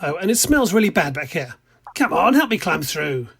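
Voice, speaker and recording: A man playing a pushy, hungry train passenger in an amateur radio drama, with a husky voice. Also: on the high side, sing-song, normal speed, fairly bright tone, heavily acted. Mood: wheedling. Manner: wheedling, then confrontational. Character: pushy hungry male passenger from the next carriage.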